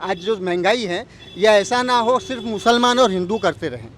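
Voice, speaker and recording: A man speaks in a high-pitched voice, making his case emphatically in a street interview. He argues through pointed questions, with some traffic noise around him outdoors. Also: expressive, normal speed, a bit bright. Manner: emphatic, argumentative, rhetorical questioning. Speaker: local community representative interviewed on the street. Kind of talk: street interview, opinion on politics.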